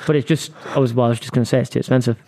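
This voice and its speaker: Fast-talking sweary man. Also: medium pitch, steady tone, mellow. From Manchester, England. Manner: blunt, sweary. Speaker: podcast host. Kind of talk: casual banter interview.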